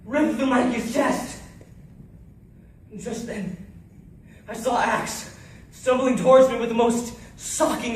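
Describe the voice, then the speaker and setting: A man's voice: very high voice, a bit bright. A man performing a dramatized first-person war monologue as an anguished soldier, with intense, theatrical delivery. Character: anguished male soldier recounting losing his comrades in battle. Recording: echoey room, distant mic, faint noise.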